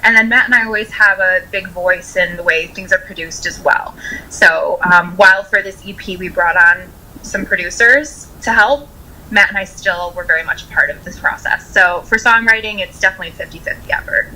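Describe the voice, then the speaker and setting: A woman's voice: on the low side, fairly quick, sharp tone, low-quality recording. A woman in her twenties with an American accent, chatting casually as a musician being interviewed, often saying "like" as she talks. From Rochester, United States. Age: 20s.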